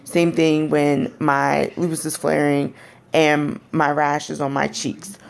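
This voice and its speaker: A young woman with a raspy, deep voice, speaking candidly in a personal vlog. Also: little pitch movement, slow. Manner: candid, frank and conversational. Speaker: vlogger. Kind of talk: personal storytelling to camera.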